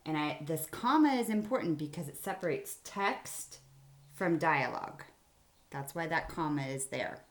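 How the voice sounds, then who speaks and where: A woman's voice: low voice, animated, moderate pace. A woman teacher giving a children's writing lesson in simple, explanatory phrases. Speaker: elementary school teacher. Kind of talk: read-aloud writing mini-lesson for children.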